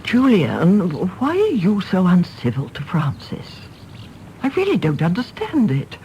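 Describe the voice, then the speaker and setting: A woman's voice: lowish voice, huge pitch swings, slow pace, mellow, exaggerated voice. A stern older English mother in a radio play. Her delivery rises and falls expressively, genteel and full of reproach, over faint background noise. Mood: reproachful. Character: stern, dutiful elderly English mother and household matriarch. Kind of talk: stage play performed as broadcast drama.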